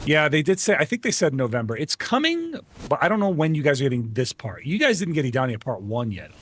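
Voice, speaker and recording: A man's voice: a little high, very animated, quick pace, fairly bright tone, a little hiss or hum. A man streaming an online game live, chatting casually and greeting viewers by name in a friendly way. He grew up speaking Arabic at home. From United States. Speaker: livestream gamer host.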